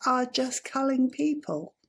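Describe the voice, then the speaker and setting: Slow, gravelly woman vlogging. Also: sits high, expressive. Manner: dry, self-deprecating and rambling. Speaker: YouTuber. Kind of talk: casual vlog chat to camera.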